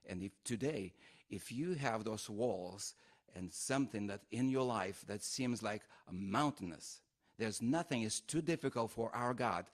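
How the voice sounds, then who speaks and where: A man from Lithuania, a native Russian speaker with an Eastern European accent, giving a fervent church testimony in slow, broken English. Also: lowish voice.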